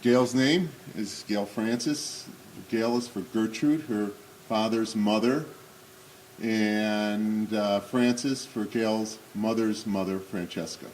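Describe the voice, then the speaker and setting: A man in his sixties with a booming voice, giving an unscripted talk at a remembrance service with many pauses and fillers, then reading memoir excerpts aloud. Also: steady tone, darkish tone, slight background noise, low-quality recording. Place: synagogue hall. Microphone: speaking without a microphone.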